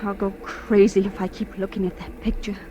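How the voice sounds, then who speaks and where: A woman's voice: mid-range voice, level tone, brisk, noisy background, close to an everyday voice. An actress playing an anxious, hesitant young woman who fears for her sanity, in the style of 1940s radio drama. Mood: distraught. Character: frightened, high-strung young woman of twenty-three fearing madness. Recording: old radio recording with music.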